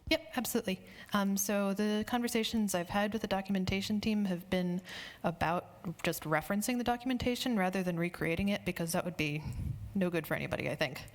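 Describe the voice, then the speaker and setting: A woman's voice: mid-range voice, brisk. A woman speaker answering audience questions after a conference talk, conversational and friendly, with some fillers. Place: conference hall.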